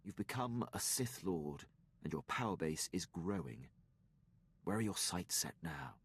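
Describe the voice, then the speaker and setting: An actor playing a deferential military captain serving a Sith in a video game, formal and obsequious, with a gravelly, husky voice. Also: male voice, low voice, lively intonation, measured pace, exaggerated voice. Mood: probing. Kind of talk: scripted game dialogue.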